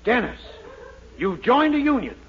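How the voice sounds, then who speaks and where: An actor playing an exasperated, sarcastic old shopkeeper in a 1940s radio comedy. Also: male voice, very high-pitched, big pitch swings, a little slow, full character voice. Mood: dry. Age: older. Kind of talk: 1940s radio sitcom acting. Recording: muffled, very noisy old radio broadcast.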